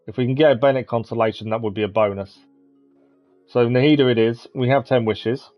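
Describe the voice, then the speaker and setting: A man's voice: mid-range voice, steady tone, a little fast. A man giving casual live commentary as he streams a video game. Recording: dull lo-fi stream mic, game music. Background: game music.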